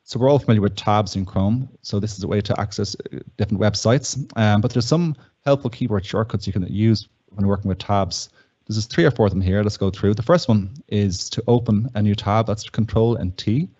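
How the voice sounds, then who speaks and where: A man with a lowish, soft-edged voice gives a tutorial. He explains each step plainly and methodically. The audio is slightly dull and lo-fi. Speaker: presenter of tech tips.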